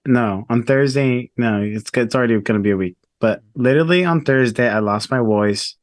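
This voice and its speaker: A young man co-hosting a podcast from a home studio, chatting casually and a little hoarse. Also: medium pitch, moderate pace, no room sound. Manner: chatty, laughs easily. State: slightly hoarse after losing voice. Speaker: podcast co-host. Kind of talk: casual chat. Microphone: studio mic.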